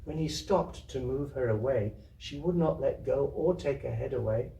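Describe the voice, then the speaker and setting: A man's voice: mid-range voice, normal speed, vocal fry, heavy background noise. A man reading a literary story aloud, with dramatic, descriptive delivery. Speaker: story reader, theatre troupe member. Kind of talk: expressive literary narration to an audience. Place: indoor community venue. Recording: echoey room, distant microphone.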